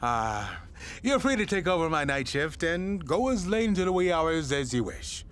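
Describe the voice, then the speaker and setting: Swooping, courtly small-town radio boss. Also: male voice, fairly high pitch, sing-song, a bit bright, clean tone. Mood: solemn, courteous. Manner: courtly, halting, wordy. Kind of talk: audio drama dialogue.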